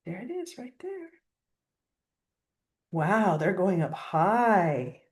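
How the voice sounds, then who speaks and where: A woman's voice: medium pitch, sing-song, extremely slow. An older woman reading a children's picture book aloud expressively, like a grandmother pointing out pictures to a child.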